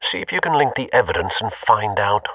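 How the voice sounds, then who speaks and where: A refined older British butler from a video game, low and slightly rough. He is formal and loyal, with bright, lively delivery and dry understatement. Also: a little fast, dry recording, far from the mic, full character voice, poor audio. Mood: dry, attentive.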